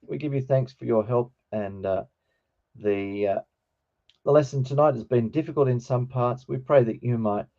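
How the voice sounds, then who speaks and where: Middle-aged man, bright slightly croaky voice, hesitant teacher, informal online lesson, muffled call audio. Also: medium pitch, moderate pace. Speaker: teacher of New Testament Greek. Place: online video call with screen share.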